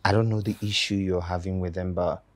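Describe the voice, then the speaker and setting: A man acting an earnest, apologetic husband in a film drama, halting and stammering when pressed. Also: low voice, flat delivery, lightly acted. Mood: stern, concerned. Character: earnest, apologetic husband who gets loudly indignant.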